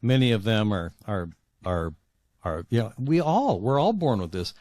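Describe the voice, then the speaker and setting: Male, 60s, deep voice, dry sound. Thoughtful author guest, conversational, searches for words as he goes. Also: very animated, darkish tone, no room sound. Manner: earnest, halting, repeats words as he searches for phrasing. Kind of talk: reflective conversational interview.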